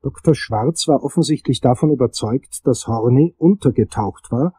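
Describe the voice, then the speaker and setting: A man as a husky, understated private investigator. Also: medium pitch, normal speed, warm tone, no echo, lightly acted. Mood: matter-of-fact. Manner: understated, matter-of-fact. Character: dry, unimpressed male private investigator narrating his case. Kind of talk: radio drama acting and scripted narration.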